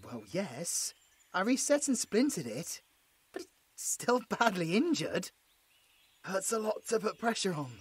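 An injured British monster hunter acted by a man in an audio roleplay, scared and sarcastic. His high voice swings widely in pitch as he stammers and pleads with his captor, recorded close and dry. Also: very animated, a little slow, breathy edge, no echo, heavily acted. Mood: pained.

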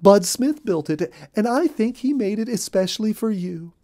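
Guy doing a gossipy mama bunny, way up high, breathless storybook chatter. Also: expressive, normal speed, warm tone. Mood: eager, helpful. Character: chatty, gossipy female cottontail rabbit, busybody neighbor.

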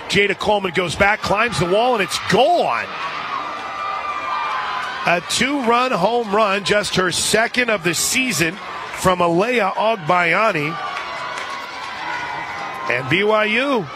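An American man doing live radio play-by-play commentary of a softball game. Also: high voice, expressive, slow, long pauses. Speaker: radio play-by-play sports announcer. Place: outdoor stadium broadcast position. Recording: crowd noise and music behind commentary. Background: stadium crowd and music.

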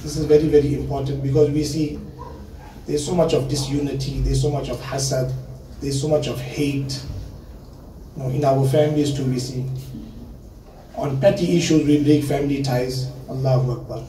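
A man's voice: mid-range voice, steady tone, normal speed, pauses often, dark tone, far from the mic, slight background noise. A man in his thirties giving an earnest sermon at a memorial gathering, slipping Urdu and Arabic phrases into his English and repeating words to stress them. He talks seated at a panel table, holding a handheld mic. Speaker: religious speaker. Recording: echoey hall, mic held away.

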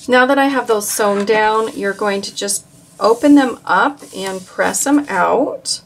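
A woman calmly walking viewers through a quilt block step by step, with occasional fillers as she works. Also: mid-range voice, unhurried. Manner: calm, instructive, with occasional fillers. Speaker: quilting instructor. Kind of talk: step-by-step craft tutorial.

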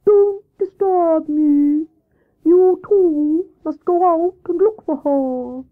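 A woman voicing a cool, bossy male owl in a children's story, with a drawn-out, commanding delivery. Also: extremely high pitch, narrow, tinny audio, voice pushed up. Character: imperious, cool old-fashioned male owl, drawling and bossy.

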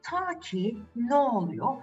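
A woman hosts a children's fairy tale with a very animated, swooping delivery. She chats directly to young listeners and asks them questions. The sound is a little distant and dull, with faint music behind her. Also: fairly high pitch, slow. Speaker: storyteller and channel host.

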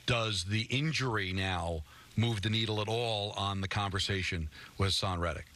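A man's voice: fairly low pitch, moderate pace, fairly bright tone. A man co-hosting casual sports talk, putting questions and remarks to a guest. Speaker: sports radio co-host.

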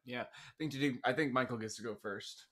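A man's voice: mid-range voice, a little fast, fairly bright tone. A man chatting casually among friends on a podcast. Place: small indoor room with couch.

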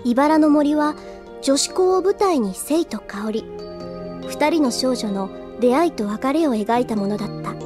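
A woman's voice: high-pitched, lively intonation, unhurried, over music. A woman playing an earnest, deferential younger schoolgirl in an audio drama, polite, sweet and a little timid. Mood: wistful, narrating. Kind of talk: Japanese audio drama acting.

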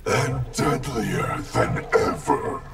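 An actor playing a cold, vengeful undead ninja in a fighting game, with a gravelly, distorted growl and threatening delivery. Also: male voice, mid-range voice, sing-song, drawn-out words, very noisy, exaggerated voice. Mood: cold anger. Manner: threatening, declamatory. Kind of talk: fighting game character dialogue. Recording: loud music behind.

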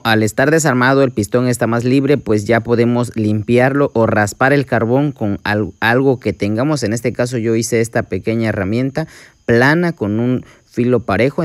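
A man narrates a hands-on repair lesson in a patient, explanatory way, speaking straight to his viewers. His voice sits at an ordinary middle pitch. He talks like a working mechanic showing each step. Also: no room sound. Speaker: small-engine mechanic. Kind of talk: step-by-step repair tutorial voice-over.

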